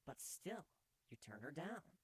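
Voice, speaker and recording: A man voicing a playful, affectionate young female changeling pony with a breathy, coaxing voice in a fan fiction reading. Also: a little high, big pitch swings, takes it slowly, heavily acted. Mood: teasing, probing. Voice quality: breathy, hushed. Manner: teasing, coaxing, tender.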